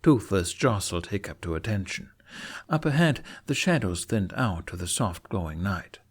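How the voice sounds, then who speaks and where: A man narrating a fantasy story in an expressive, theatrical audiobook style. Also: sits low, normal speed, slightly rough. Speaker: fan fiction narrator.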